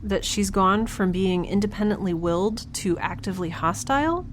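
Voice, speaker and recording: A woman's voice: mid-range voice, animated, normal speed, faint music behind, lightly acted. A woman playing a wry, anxious, wistful young woman alone in space, talking casually in an audio drama diary. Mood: sardonic, anxious. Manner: casual, conversational, sardonic. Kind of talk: audio drama, first-person recorded diary.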